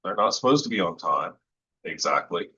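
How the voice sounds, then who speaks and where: A man with a low voice talking casually about recording vocals, thoughtful and rambling with lots of fillers and restarts. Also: animated, normal speed, bright, forceful tone, husky, lo-fi sound. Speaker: musician discussing vocal recording. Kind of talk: casual podcast chat.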